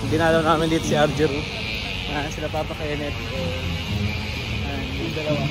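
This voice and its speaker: A man's voice: a little high, level tone, moderate pace, over loud music, rough recording. A Filipino man chatting casually with friends and viewers as a motovlogger. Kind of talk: casual vlog chat with friends.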